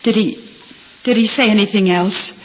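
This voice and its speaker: A woman's voice: medium pitch, normal speed, close to an everyday voice. Radio drama casting: actress as proud young female patient, newly blind and anguished; dull, noisy vintage recording with long reverb. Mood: weak, subdued. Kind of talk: 1950s radio drama acting. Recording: lo-fi 1950s radio recording, reverberant, hissy, clicks.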